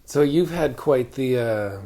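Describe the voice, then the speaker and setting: A man's voice: medium pitch, slow, vocal fry, a little hiss or hum. A man interviewing artists, asking loose questions with short affirmations. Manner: relaxed, brief prompts and affirmations. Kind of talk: casual interview.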